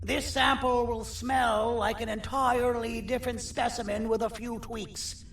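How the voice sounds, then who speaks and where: A man's voice: extremely high pitch, level tone, a little slow, gravelly, full character voice. A man voicing a scheming, persuasive monster in a video game cutscene, with theatrical delivery. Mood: eager scheming. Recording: echoey, distant, loud eerie music behind.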